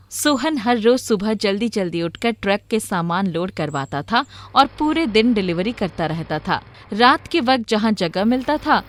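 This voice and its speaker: A woman reading scripted narration for a moral story in a storyteller's manner. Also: mid-range voice.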